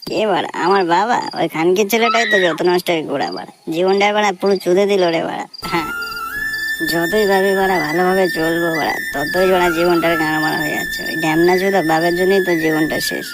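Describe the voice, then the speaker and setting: A man's voice: sits high, fast, over music. A man with a West Bengal Bengali accent voicing a drunken, bitter young man who rants coarsely in a comedy cartoon. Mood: bitter complaining. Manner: boastful, aggrieved, coarse. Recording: clear background noise.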